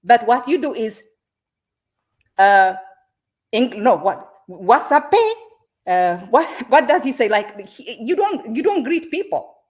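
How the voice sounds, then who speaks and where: A woman from Ethiopia, hosting a confrontational interview and asking pointed, accusing questions between many halting fillers. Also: on the high side, very animated, a little mellow. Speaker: host.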